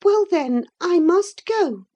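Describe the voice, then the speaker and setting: A woman's voice: very high voice, lively intonation, takes it slowly, dark tone, voice pushed up, exaggerated voice. A woman voicing an impetuous, hot-tempered but tender-hearted young girl, with an emotional delivery, in a storybook reading. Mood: remorseful, pleading. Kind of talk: dramatised classic novel reading.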